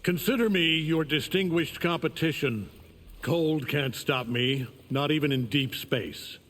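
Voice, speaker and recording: An actor playing an arrogant, menacing alien conqueror in a fighting game, commanding and contemptuous. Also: male voice, a little high, a little slow, a bit bright, long reverb tail, a little hiss or hum. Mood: scornful, threatening. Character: arrogant, menacing alien superhuman conqueror, male, middle-aged. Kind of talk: fighting game character banter.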